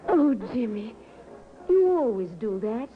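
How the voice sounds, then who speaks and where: Lovesick radio-drama sweetheart, earnest, fairly high voice, thin phone-like old recording. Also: female voice, sing-song, slow. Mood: pleading, affectionate. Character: lovesick mistress, affectionate yet discontented woman. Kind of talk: 1950s radio drama acting.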